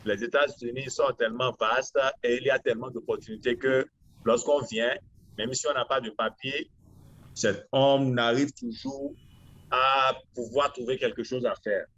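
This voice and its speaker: A man in his forties from Benin with a Beninese accent, answering interview questions in a halting, deliberate way. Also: medium pitch, animated, a bit bright, dull audio. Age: 40s. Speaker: interview guest, lawyer.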